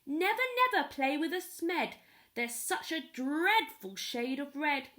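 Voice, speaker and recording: A woman's voice: high voice, animated, unhurried, fairly bright tone, pitched above the performer's own voice. A woman reading a children's storybook, voicing a stern, disapproving old female alien grandmother with emphatic delivery. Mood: indignant scolding. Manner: emphatic scolding. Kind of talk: children's storybook read-aloud.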